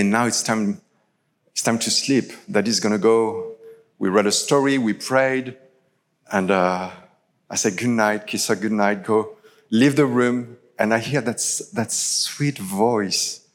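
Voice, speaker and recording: A man's voice: on the low side, measured pace. French-accented man preaching in a hall. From France. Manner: casual, chatty storytelling with many fillers. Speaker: pastor. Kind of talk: live sermon. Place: large church hall. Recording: live church recording with long hall reverb.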